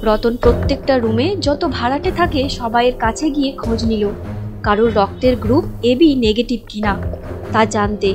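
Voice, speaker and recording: A woman with a fairly high voice narrates a scripted moral story, telling it as a storyteller would. Music plays clearly behind her. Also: a little fast.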